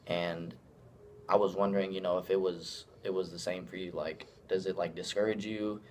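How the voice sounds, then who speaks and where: A man's voice: sits low, little pitch movement, normal speed, slight background noise. A young man interviewing a fellow student, asking loose, rambling questions and sharing his own thoughts. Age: young adult. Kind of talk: casual interview.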